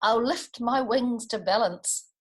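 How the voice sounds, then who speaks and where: A woman's voice: fairly high pitch, slow pace, breathy edge. A woman voicing a frightened little male kiwi bird from a children's storybook, pleading shrilly. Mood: despairing.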